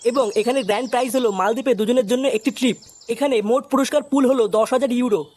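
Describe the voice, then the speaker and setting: High-voiced, brisk male ad narrator. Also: steady tone, a little mellow, dry recording. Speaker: advertising narrator. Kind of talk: scripted promotional narration.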